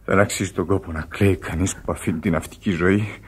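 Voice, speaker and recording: An actor playing a weary, feverish dying sailor in a Greek radio drama, halting and drifting toward whispers, full of regret. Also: male voice, low-pitched, steady tone, husky. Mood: wistful, bitter. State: weak, in pain. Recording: radio play with music underneath. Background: music.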